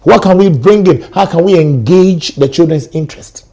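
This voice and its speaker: A middle-aged man with a Ghanaian accent talks in a husky, gravelly voice that sits a little high for a man. The tone is dark. He tells stories in an animated way, acting out sounds as he goes. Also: normal speed. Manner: animated storyteller, acts out sounds and quotes. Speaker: guest, educator. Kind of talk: studio talk show conversation.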